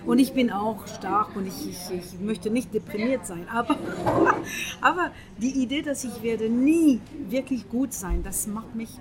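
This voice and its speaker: A middle-aged woman from France speaking German with a French accent, often searching for words in a casual breakfast conversation, with many pauses and fillers. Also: on the high side, a crowd in the background. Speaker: guest. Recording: breakfast table with a group chatting in the background.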